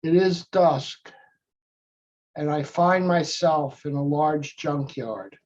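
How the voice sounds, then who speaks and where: Slow-speaking male meditation guide, dull audio. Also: fairly high pitch, darkish tone, slight background noise. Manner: measured, short phrases with long pauses.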